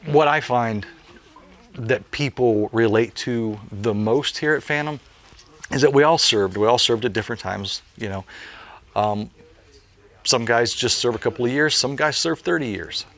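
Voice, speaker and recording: A man's voice: mid-range voice, measured pace, long pauses, fairly bright tone. A man in his late forties, a parachute jumpmaster, telling stories in a casual interview with an earnest manner and many "you know" fillers. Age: late 40s.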